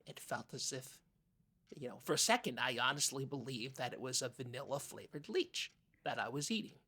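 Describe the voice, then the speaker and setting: Man playing a husky, hesitant small-town podcast co-host. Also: medium pitch, expressive, moderate pace, pushed and bright, full character voice. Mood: earnest, hesitant. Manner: hesitant, stammering, naive and earnest. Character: timid, earnest, easily offended small-town male podcast co-host. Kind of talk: improvised comedy podcast banter.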